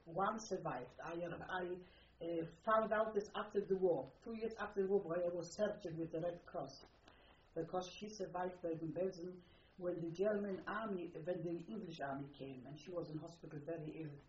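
An elderly woman with a Polish accent recounts her life in halting, repetitive English. She is recorded on muffled archival tape in a roomy space, with faint hiss behind her. Her pitch sits in a middle range. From Poland. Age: older. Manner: halting, non-native phrasing, repeats words. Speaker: interviewee. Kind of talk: oral history interview.

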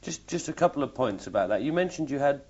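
A male interviewer with an average-pitched voice asks careful, probing follow-up questions, often repeating a word as he begins. The recording is muffled and lo-fi. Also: expressive, fast, darkish tone. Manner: probing, repeats words when starting questions. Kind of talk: oral history interview questions. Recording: dull lo-fi recording.

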